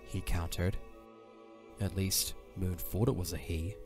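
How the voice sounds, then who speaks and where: A man's voice: deep voice, steady tone, a little slow. A man narrating an audio drama from a script as the storyteller. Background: soft music.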